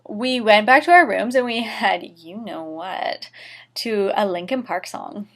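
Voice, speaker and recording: A woman's voice: mid-range voice, unhurried, fairly bright tone. A woman reading fan fiction dramatically as host, with a sarcastic, theatrical manner and mocking asides. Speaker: host and reader of a series.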